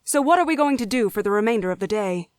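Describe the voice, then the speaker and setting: A woman playing an eager, curious young druid in a fantasy audio drama, oblivious and quick to defend herself. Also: medium pitch, lively intonation, no echo, lightly acted. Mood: breezy.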